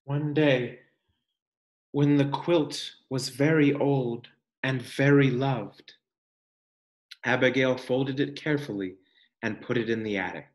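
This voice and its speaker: A man reading a picture book aloud like a teacher to children, expressive and deliberate, pausing for emphasis and guiding questions. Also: mid-range voice. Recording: echoey room.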